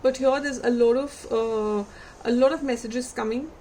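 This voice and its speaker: A woman reading tarot cards for her viewers, unhurried and reflective, putting gentle questions to them and losing her voice. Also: a little high, steady tone, a little hiss or hum, rough recording. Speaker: tarot reader.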